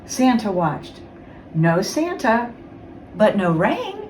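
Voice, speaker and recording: Slow, lively woman reading a storybook. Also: mid-range voice. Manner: expressive, dramatic storytelling. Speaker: guest reader. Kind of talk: children's storybook read-aloud. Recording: roomy, distant mic, faint noise.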